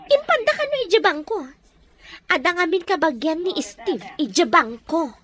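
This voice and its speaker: A woman's voice: very high-pitched, huge pitch swings, normal speed, a bit bright, exaggerated voice. A woman playing a bossy, cruel female captor in an audio drama, commanding and scornful with a Filipino accent. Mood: scheming. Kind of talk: Ilocano audio drama acting.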